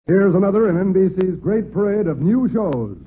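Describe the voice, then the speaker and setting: A man's voice: sits high, lively intonation, normal speed. A man announcing in a solemn, formal radio style. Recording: tinny old radio broadcast over music.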